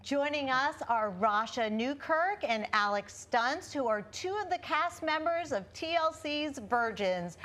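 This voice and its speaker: A woman's voice: high voice, expressive, a little slow, hardly any pauses, fairly bright tone. Inquisitive woman TV co-host. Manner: curious, probing questions. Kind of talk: TV talk interview.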